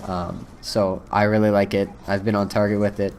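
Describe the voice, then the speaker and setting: A young man, hoarse from a cold, casually rambling through his first impressions with lots of ums and uhs. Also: fairly low pitch, little pitch movement, moderate pace, a little mellow, a little hiss or hum. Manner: rambling, many fillers. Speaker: gaming video creator.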